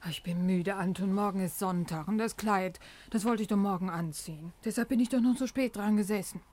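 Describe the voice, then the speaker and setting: A woman's voice: medium pitch, fairly quick, a little mellow, natural voice. An actress playing a tired, practical woman coaxing her companion along in a 1950s German radio drama. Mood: tired complaint. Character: tired, practical female companion gently urging an old man home.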